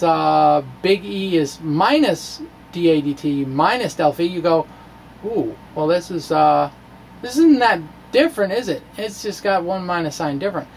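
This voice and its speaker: Creaky-voiced American man explaining physics. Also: high-pitched, slight background noise. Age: middle-aged. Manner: casual, halting, with thinking pauses. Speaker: physics video blogger. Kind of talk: informal physics lecture. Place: small cluttered indoor room with bookshelves. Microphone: microphone on a stand in front of him.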